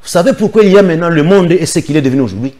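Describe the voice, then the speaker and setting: A man's voice: fairly high pitch, expressive, rapid-fire, mellow. A man from the Democratic Republic of the Congo, a political guest giving firm, insistent answers in a studio interview.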